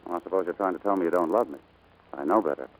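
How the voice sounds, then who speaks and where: A man acting a brash, lovestruck American navigator in 1940s radio drama style, brisk, cocky and insistent. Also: low-pitched, low-quality recording. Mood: incredulous, insistent.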